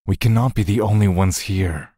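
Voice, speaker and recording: An actor playing a stern, dutiful god of death in a fantasy audio drama, speaking in a stiff, curt, commanding manner. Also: male voice, fairly low pitch, normal speed, mellow, breathy edge, dry recording, clean studio sound. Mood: stern, grave.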